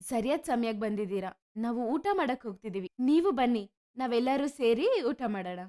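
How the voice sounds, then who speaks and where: A woman voicing a frank, warm-hearted young village daughter in a story. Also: on the high side, expressive, normal speed, lightly acted. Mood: grateful. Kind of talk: animated moral story dialogue.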